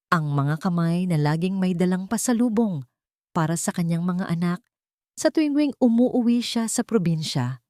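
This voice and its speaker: A woman with a Filipino accent narrates a dramatic audio story. Her voice sits low for a woman, and the sound is dry, with very little room on it. The delivery is that of scripted suspense fiction. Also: darkish tone, dry recording.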